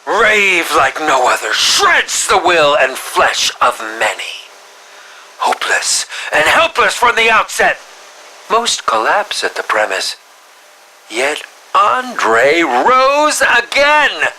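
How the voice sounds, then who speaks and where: An actor voicing a melodramatic, sinister film director villain in a video game, drawing out his lines and dropping into breathy whispers. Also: high-pitched, very animated, pushed and bright, heavily acted, rough recording. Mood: gloating menace. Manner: melodramatic, drawn-out delivery with breathy whispered drops. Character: theatrical, sinister mad male film director villain, grieving and menacing. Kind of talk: scripted game villain monologue.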